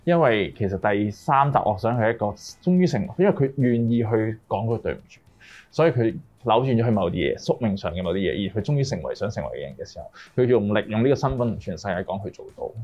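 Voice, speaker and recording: A man's voice: lowish voice, expressive, fast talker, a little mellow, highs cut off. A man with a Hong Kong accent explaining his work in an interview as a director, mixing in English words. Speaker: music video director. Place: small indoor room, group interview, through a face mask.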